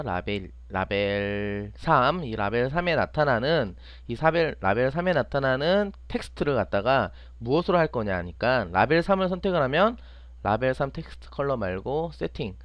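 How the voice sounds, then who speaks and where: A man's voice: medium pitch, no echo, muffled recording. A man teaching an unscripted step-by-step screen tutorial, often asking questions and answering them himself. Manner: asks and answers own questions. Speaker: teacher, online programming instructor.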